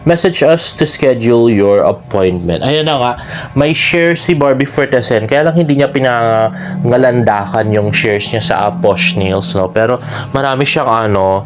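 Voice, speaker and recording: A man's voice: medium pitch, animated, measured pace. A man with a Filipino accent gives casual, chatty fan commentary as a vlogger, gushing and often adding tag questions. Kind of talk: casual commentary on celebrity social media posts. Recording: clearly noisy background with ambient sound.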